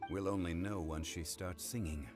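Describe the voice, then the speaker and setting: A man voicing a calm, learned gentleman in a video game, speaking in a formal, measured, composed manner. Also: low-pitched, loud music behind the voice, natural voice. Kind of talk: video game character acting.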